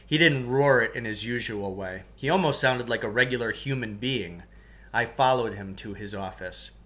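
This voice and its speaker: A middle-aged man reading a first-person story aloud in an expressive storytelling style. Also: mid-range voice, normal speed. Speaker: teacher reading a book aloud. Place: living room at home, indoors.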